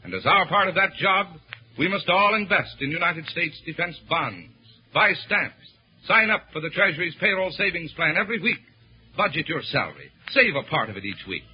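High-pitched male 1940s radio announcer. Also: animated, breathy edge. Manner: declamatory, earnest, measured phrasing. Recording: muffled old 1940s radio recording.

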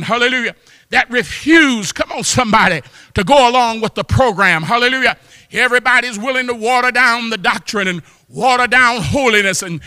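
Fired up preacher guy, really high clear voice, exhorting and praising, big long hall reverb. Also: studio quality. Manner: exhorting, repeated praise exclamations; impassioned, often breaking into shouts. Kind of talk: fervent call-and-response church sermon.

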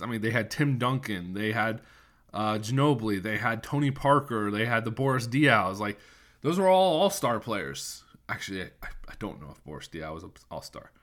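A man's voice: medium pitch, a bit bright. A young man with a stuffy cold voice, hosting a casual sports podcast, rambling and opinionated with lots of restarts. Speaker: podcast host.

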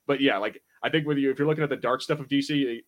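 A man's voice: medium pitch, fast talker. A man hosting a podcast in a casual, informal, slangy chat style. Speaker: podcast host.

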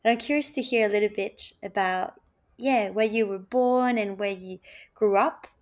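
A woman with a medium-pitched voice hosts a reflective podcast. She talks casually and thoughtfully, feeling her way through short, halting phrases that sometimes trail off. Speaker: podcast host.

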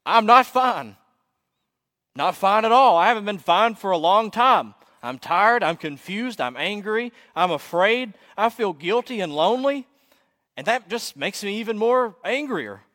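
Guy acting a worn-out desperate pilgrim in a sermon story, raw and emotional, highish, echoey hall. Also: a little slow, long pauses, a bit bright. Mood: angry outburst. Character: weary, desperate pilgrim pouring out his heart. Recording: echoey hall with long reverb.

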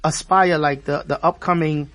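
A man's voice: on the high side, fairly even intonation, moderate pace, fairly bright tone. A man hosting a casual radio interview in loose, slangy talk, often repeating himself. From Haitian background. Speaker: radio show host.

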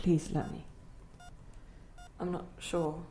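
Grave inner spirit, low pitch, breathy husky voice, measured, intimate, remorseful, audio play. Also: female voice, little pitch movement, warm tone, heavily acted. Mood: pleading.

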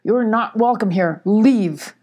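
A woman's voice: medium pitch, animated, a little slow, a little mellow, husky. Storybook badger, female reader: grumpy, territorial male, curt hissing growl, unfriendly. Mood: hostile, curt. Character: grumpy, hostile male badger guarding his land. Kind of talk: children's storybook character voice.

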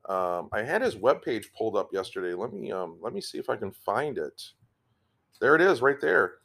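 A man's voice: fairly low pitch, fairly bright tone. A middle-aged man hosting a casual podcast from home, rambling along with frequent ums and restarts. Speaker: podcast host.

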